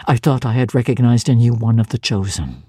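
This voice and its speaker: A woman voicing a stern, cold male clergyman who speaks in a low, measured and severe way. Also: warm tone, breathy edge, no echo, clean studio sound. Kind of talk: dramatic reading of a classic novel.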